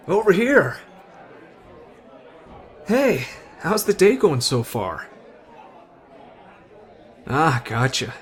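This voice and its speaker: A man playing an easygoing, friendly but tough teenage delinquent in an anime roleplay, casual and slangy. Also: fairly high pitch. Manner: casual, slangy, addresses listener as buddy. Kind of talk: anime fan ASMR roleplay.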